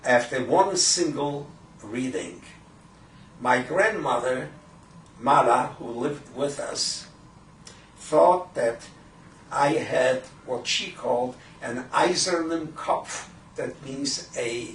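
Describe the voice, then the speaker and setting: Slow-spoken elderly man, roomy recording. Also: medium pitch, fairly bright tone. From Poland. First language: Yiddish. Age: 80s. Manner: halting, deliberate, frequent throat clearing. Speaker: Holocaust survivor giving testimony. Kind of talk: oral history recollection. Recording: roomy, distant microphone with faint noise.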